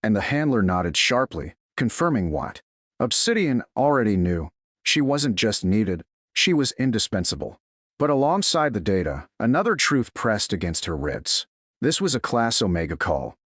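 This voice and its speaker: A man reading scripted dramatic fiction as a narrator, in a measured, solemn way. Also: on the low side, fairly bright tone, dry recording, clean studio sound. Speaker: story narrator.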